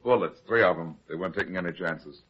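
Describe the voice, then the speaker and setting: An actor playing a hard-boiled, heavyset private detective, terse and deadpan, in old-time radio style. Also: male voice, low-pitched, quick pace, husky. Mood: grim, businesslike. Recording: dull, lo-fi old radio drama recording.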